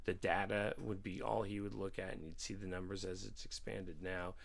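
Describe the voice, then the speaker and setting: A man's voice: sits low, level tone, moderate pace, vocal fry. A man talking casually and earnestly, explaining his point in a loose debate. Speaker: podcast participant in discussion.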